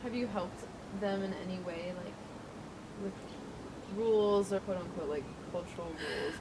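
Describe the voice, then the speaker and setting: Woman interviewer asking halting questions. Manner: hesitant, filler-laden questions. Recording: noisy with low rumble.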